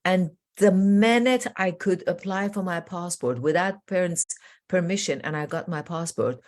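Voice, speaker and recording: Emphatic woman in her sixties, lower-pitched. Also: moderate pace, darkish tone. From Iran. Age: 60s. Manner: emphatic, declarative, repeats key phrases. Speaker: transformation coach and guest. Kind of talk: personal storytelling in a conversation.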